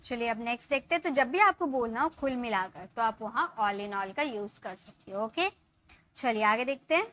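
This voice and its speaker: A woman in her thirties teaching spoken English, explaining in Hindi and saying the English sentences slowly, word by word, and often checking in with her viewers. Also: on the high side, expressive, fairly bright tone. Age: 30s. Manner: addresses viewers as guys, checks in with "ठीक है".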